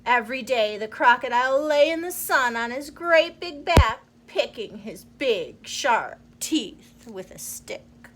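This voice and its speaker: A woman reading a children's storybook aloud in an expressive, deliberate storyteller's manner. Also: on the high side, noisy background.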